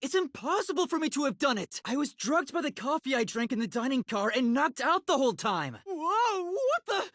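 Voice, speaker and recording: A man playing a timid, earnest young amnesiac trainee detective in an English video game, with a light, breathy voice and a hesitant manner. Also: very high-pitched, big pitch swings, normal speed, few pauses, no room sound, studio quality, heavily acted. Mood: panicked. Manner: hesitant, soft-spoken, stammering when flustered.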